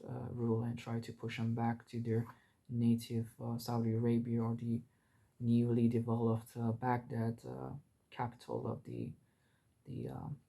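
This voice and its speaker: A man from Iran giving an unscripted history talk, halting, with frequent pauses and hesitations between phrases. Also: mid-range voice, gravelly, dark tone. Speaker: history commentator.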